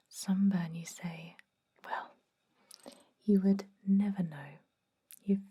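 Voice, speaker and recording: A woman playing a caring, centuries-old vampire dentist in a breathy, soothing whisper for an ASMR roleplay. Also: fairly low pitch, full character voice. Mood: reassuring. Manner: soothing, gently chatty, unhurried.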